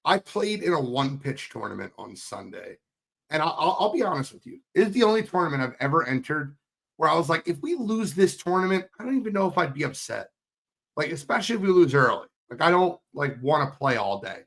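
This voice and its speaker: A hoarse man on a sports podcast, his pitch leaping around as he talks. He teases his co-host and sounds fired up, in a relaxed chat style. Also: fairly quick. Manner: animated, teasing, fired up.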